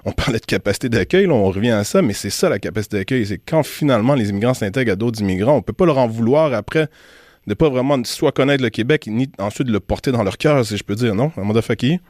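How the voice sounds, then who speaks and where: A man's voice: medium pitch, lively intonation, quick pace, a little mellow, no room sound, studio quality. A man with a Quebec French accent hosting a current affairs radio discussion, telling anecdotes and giving his opinions in a reflective way. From Quebec, Canada. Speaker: radio host. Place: radio studio.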